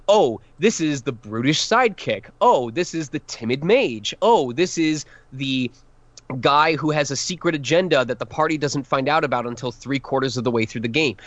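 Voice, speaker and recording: A man's voice: medium pitch, a bit bright, dry recording, highs cut off. A man who works as a voice actor, chatting on a podcast, rambling and self-deprecating as he explains casting. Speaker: voice actor guest. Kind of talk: casual podcast chat.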